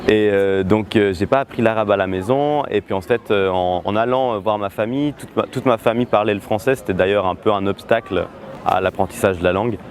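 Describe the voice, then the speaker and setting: Low-voiced man, halting. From Switzerland. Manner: hesitant, many filler pauses. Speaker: musician interviewee. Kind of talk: casual interview talk.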